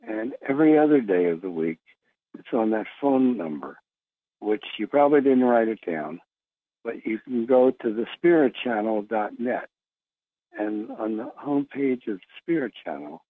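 A man's voice: mid-range voice, no room sound. American man, dull lo-fi call-in sound. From Philadelphia, USA. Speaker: conference call host. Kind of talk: casual, rambling call-in talk. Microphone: phone line on conference call.

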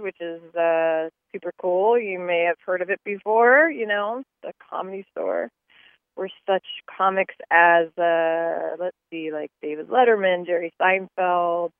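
A woman hosting a casual interview show, chatty and quick to laugh, with many fillers. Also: fairly low pitch, dry recording. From New Jersey. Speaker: host. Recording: tinny phone-like line, dry.

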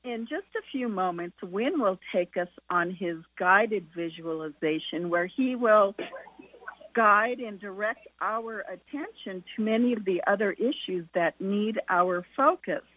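A woman's voice: mid-range voice, animated, measured pace. A woman moderating a conference call with formal announcements, speaking over a telephone. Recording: narrow, dry phone line.

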